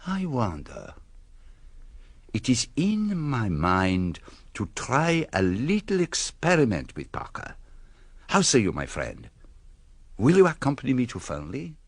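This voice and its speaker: A man playing a courteous, shrewd foreign detective in a radio drama, formal and deliberate with quaint phrasing. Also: mid-range voice, wildly swooping pitch, slightly rough, no echo, full character voice. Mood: thoughtful, probing.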